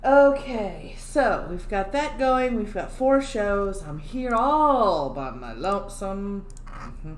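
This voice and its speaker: A woman's voice: medium pitch, lively intonation, slow pace. A woman hosting a livestream on her own, chatting casually and joking about her own technical glitches. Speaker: livestream host. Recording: echoey room with faint background hum.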